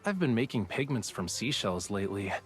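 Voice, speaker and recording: A man's voice: on the low side, very animated, fairly quick, faint music behind. An actor playing a playful, teasing young male artist of the sea folk in a game. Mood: relaxed, explaining. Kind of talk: game voice acting.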